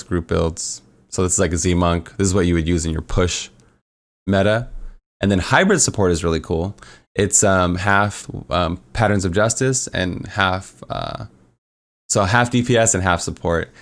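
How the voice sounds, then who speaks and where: A man's voice: low-pitched, creaky. A man walking casually through a game build tier list, upbeat and rambling with lots of fillers, his voice a little worn. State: losing his voice. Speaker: gaming content creator.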